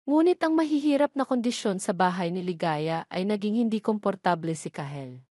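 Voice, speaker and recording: A woman's voice: mid-range voice, lively intonation, normal speed, dark tone, no room sound, studio quality. A woman reading scripted narration of a romance drama as a storyteller.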